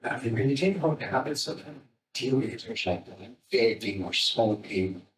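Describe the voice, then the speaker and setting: An Irish man speaking in a halting, repetitive way during an informal discussion from the audience. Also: medium pitch, lively intonation, crowd noise. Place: indoor event. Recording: echoey, distant mic, audience noise.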